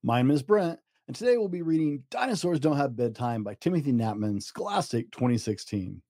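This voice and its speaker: A man's voice: mid-range voice, lively intonation, fairly quick, warm tone, no echo, studio quality. A man hosting a children's story podcast, reading scripted introductions and narration for young listeners. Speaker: podcast host and story reader.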